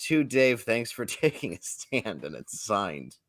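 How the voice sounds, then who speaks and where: Joking man with a lively pitch. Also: unhurried, no echo, studio quality. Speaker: comedian guest. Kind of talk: casual chat.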